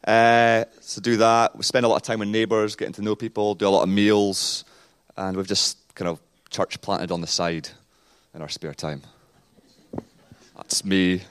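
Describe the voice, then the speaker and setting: A man in his late thirties, born in Scotland, speaks in a New Zealand accent with Scottish traces. His voice sits low and comes through smooth and resonant. He chats casually and rambles a little, poking fun at himself as a guest at a church service. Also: flat delivery, normal speed. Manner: rambling and self-deprecating, with many fillers. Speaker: pastor and interview guest.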